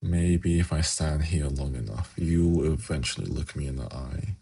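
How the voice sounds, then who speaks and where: A man playing a suave, haughty demon prince in an ASMR fantasy roleplay, speaking in a measured, formal, silkily condescending way with a gravelly, husky voice. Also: rumbling low, dark tone, heavily acted. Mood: soft, coaxing.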